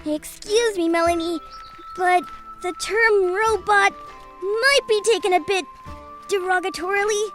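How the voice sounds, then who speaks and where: An innocent little childlike companion in a fantasy audio drama. The voice is extremely high and small, polite and earnestly pedantic about every word. Music is clearly heard behind it. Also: female voice, fairly even intonation, unhurried, fairly bright tone. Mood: earnest, curious.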